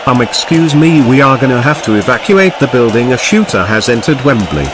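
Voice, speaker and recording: A man's voice: medium pitch, expressive, fairly quick, natural voice. A man playing an alarmed stadium announcer ordering people out. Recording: noisy outdoor urban background.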